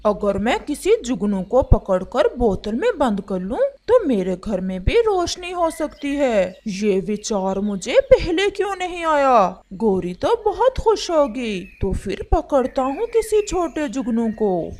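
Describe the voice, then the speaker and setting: A woman voicing a scheming male crow husband in a cartoon story, sly, drawn-out and eager to please. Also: on the high side, sing-song, darkish tone.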